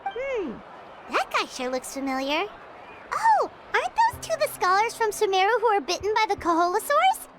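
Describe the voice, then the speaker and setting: Very high, bouncy little companion who talks about herself in third person; game soundtrack under her. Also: big pitch swings, moderate pace, over loud music. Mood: chatty. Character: tiny floating girl companion, chirpy and chatty.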